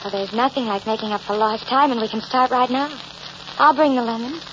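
Actress as brassy high-voiced American dame, old radio. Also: female voice, brisk, close to an everyday voice. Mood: breezy, friendly. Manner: slangy, ungrammatical, familiar talk. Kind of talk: 1940s-50s radio drama acting, with first-person narration. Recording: noisy, muffled old radio recording, heavy hiss.

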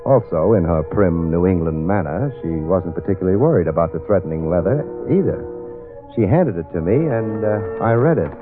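A man's voice: deep voice, lively intonation, brisk. An actor playing a wry, hard-boiled private detective who narrates and stumbles over his words in a 1940s radio drama. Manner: wry, hesitant with many "uh"s. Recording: tinny old radio broadcast with music.

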